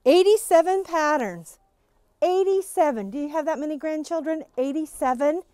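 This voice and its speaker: Bubbly high-voiced woman touring a quilt shop. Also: normal speed, warm tone, no room sound, studio quality. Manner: bubbly, gushing enthusiasm. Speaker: quilt shop employee giving a shop update. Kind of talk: casual shop tour walkthrough. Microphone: phone camera following her, wearing a face mask.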